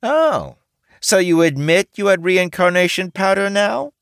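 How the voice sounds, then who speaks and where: A man voicing a brash female orc who used to be a man, loud and bossy. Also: medium pitch, big pitch swings, takes it slowly, no room sound, clean studio sound, pitched above the performer's own voice. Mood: smug.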